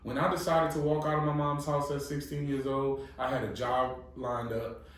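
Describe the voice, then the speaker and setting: A man's voice: mid-range voice, flat delivery, moderate pace, fairly bright tone, distant mic. Blunt New man in echoey room. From New Orleans, Louisiana. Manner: profane, emphatic, motivational. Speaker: podcast co-host. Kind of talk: casual chat, giving blunt advice.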